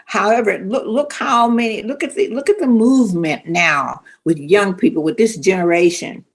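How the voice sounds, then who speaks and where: A woman's voice: mid-range voice, normal speed, husky. A woman speaking earnestly as a panelist, repeating phrases for emphasis.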